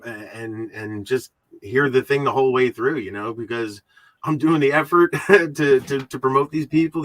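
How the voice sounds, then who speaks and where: A man hosting a podcast in casual, rambling, halting talk, often repeating his words and swearing freely. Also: medium pitch, sharp tone, no echo, low-quality recording. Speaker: podcast host.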